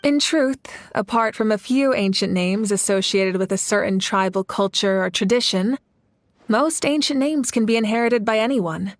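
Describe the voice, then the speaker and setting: Actress as a composed, noble warrior leader. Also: female voice, mid-range voice, no room sound, natural voice. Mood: calm, explanatory. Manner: measured, formal, assured. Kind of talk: video game character dialogue. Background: background music.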